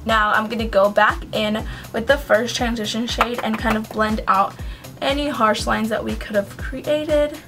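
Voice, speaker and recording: A woman's voice: on the high side, fairly even intonation, measured pace, music in the background. A young woman doing a makeup tutorial at home, chatting casually with lots of ums and likes and giving earnest advice. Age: young adult. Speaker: beauty vlogger. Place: small home room with shelves, indoors. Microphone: camera at close range.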